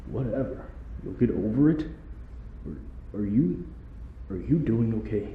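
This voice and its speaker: A man's voice: lowish voice, animated, unhurried. A man playing a cocky but loyal young soldier in an anime fan audio drama. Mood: worried, frustrated. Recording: echoey, dull, distant, faint noise.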